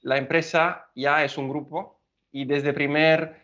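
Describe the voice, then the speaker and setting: A man's voice: a little high, little pitch movement, a bit bright. Italian-accented man, hesitant guest, muffled lo-fi sound. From Italy. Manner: halting, many fillers and restarts. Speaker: guest engineer. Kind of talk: podcast interview.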